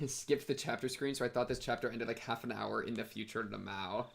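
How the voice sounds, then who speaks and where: A man's voice: medium pitch, fairly quick, vocal fry. A young man giving casual, slangy playthrough commentary on a visual novel and reading text aloud. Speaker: streamer playing a visual novel.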